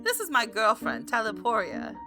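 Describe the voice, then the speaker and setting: A woman's voice: fairly high pitch, big pitch swings, moderate pace, music in the background. A woman voicing a beaming, unflappable, centuries-old boyfriend in a visual novel, gushing and coaxing. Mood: gushing, cheerful.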